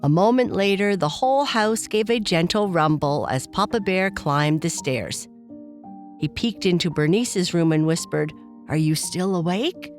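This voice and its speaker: A woman reading a scripted children's bedtime story as narrator, in a gentle, unhurried manner. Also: on the low side, lively intonation, faint music behind.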